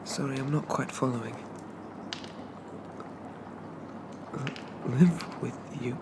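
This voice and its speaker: A man playing a shy, polite undead zombie in a soft ASMR roleplay, stammering and hesitant in a gently formal way. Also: mid-range voice, normal speed, noisy background, natural voice. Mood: grateful, bashful.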